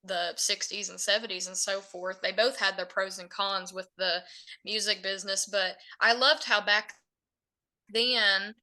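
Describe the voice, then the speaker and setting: Young woman, Southern Appalachian accent, bright clear tone, chatty, earnest, rambling answers. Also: mid-range voice, steady tone, measured pace. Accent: Southern Appalachian American. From Virginia, USA. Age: young adult. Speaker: country singer guest. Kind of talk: casual podcast interview.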